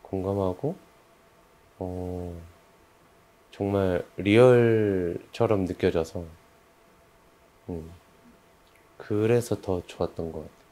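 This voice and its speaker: A man from a creative team reflecting casually in a discussion, halting and feeling his way into what to say. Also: deep voice, warm tone, slight background noise. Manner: halting, unsure where to begin. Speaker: member of a creative production team.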